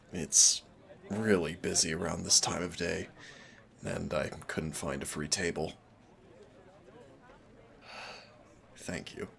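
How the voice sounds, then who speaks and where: Casting: male actor for awkward, gentle cafe flirt in an audio roleplay; very low voice with gravelly fry, nervous, hesitant delivery. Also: heavily acted. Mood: nervous, apologetic. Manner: hesitant, nervous, apologetic, chatty. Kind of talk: soft-spoken audio roleplay.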